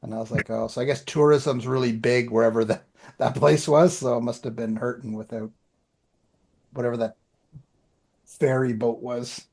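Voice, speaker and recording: A man's voice: mid-range voice, fairly even intonation, normal speed, vocal fry, long pauses, darkish tone. A man telling anecdotes in a rambling, informal way as a podcast co-host. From Canada. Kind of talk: casual chat, anecdotes.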